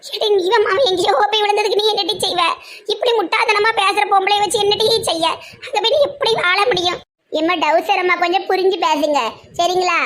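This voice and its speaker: Performer doing a proud bride's mother, squeaky high voice, bright, taunting, blunt. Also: steady tone, fairly quick. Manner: taunting, blunt rural speech.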